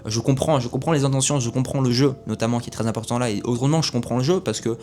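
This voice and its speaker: A man's voice: mid-range voice, steady tone, fast talker, a little mellow. A young man giving a casual, rambling film review, full of fillers and restarts. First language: French.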